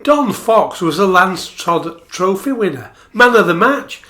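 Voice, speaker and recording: An actor playing a concerned, matter-of-fact doctor in a radio play, questioning and firm. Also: male voice, sits high, sing-song, measured pace, pushed and bright, heavily acted. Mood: firm reassurance.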